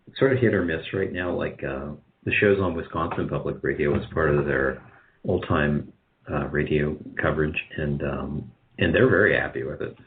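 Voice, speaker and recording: Male interview guest, very low voice, casual and halting, heard over dull narrow-band call audio. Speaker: guest, radio drama producer.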